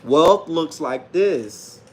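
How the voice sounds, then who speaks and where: A man's voice: on the high side, lively intonation, drawn-out words, darkish tone. A man in his late thirties giving a casual, unscripted livestream monologue in a self-assured, slangy, repetitive way. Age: late 30s. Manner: self-assured, slangy, repeats himself, often says "man". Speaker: livestream host and self-published author.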